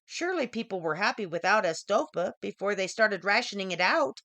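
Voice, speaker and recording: A woman's voice: mid-range voice, animated, brisk, sharp tone, no echo. Woman reading as a cheerful, curious young woman. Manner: playful, questioning. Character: cheerful, defiant young female worker, gleeful and curious. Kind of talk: acted dialogue in short story reading.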